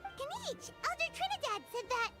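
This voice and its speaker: Loud music plays behind a tiny fairy sidekick. The voice is extremely high, squeaky and childlike, bouncing around with cheeky, chirpy energy, pure anime-style video game. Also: female voice, sing-song, moderate pace. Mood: eager.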